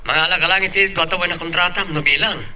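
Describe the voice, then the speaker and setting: Man acting a bright-voiced supportive husband. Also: sits high, racing. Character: supportive husband and father working abroad. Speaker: actor in an amateur drama. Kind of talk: Ilocano radio-style family drama acting. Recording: distant mic, background noise.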